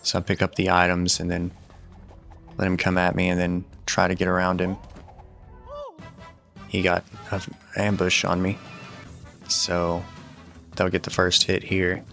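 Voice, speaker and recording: A man giving casual, matter-of-fact video game walkthrough commentary in a low-key instructional way. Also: completely flat delivery, a little slow, creaky, long pauses, a bit bright. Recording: voice over game music. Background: video game music.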